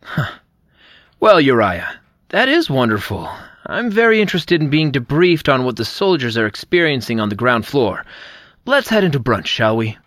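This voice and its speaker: Persuasive male president, smooth, lively pitch, audio drama. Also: normal speed, no echo, studio quality. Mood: cordial. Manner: persuasive, silky and coaxing.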